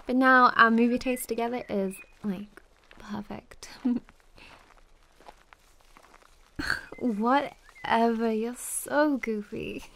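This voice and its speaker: A young woman playing a warm, playful best friend in an intimate ASMR roleplay, chatting casually with a giggly, teasing, hesitant manner. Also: a little high, fairly even intonation, slow, slight background noise, exaggerated voice. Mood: teasing, fond.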